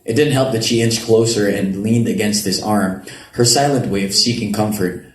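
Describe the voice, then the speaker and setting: A man's voice: lowish voice, moderate pace. A man narrating a romance story in a live dramatic reading. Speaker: narrator reading a novel excerpt. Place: roomy event venue at a public reading. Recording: echoey live venue, audible background noise.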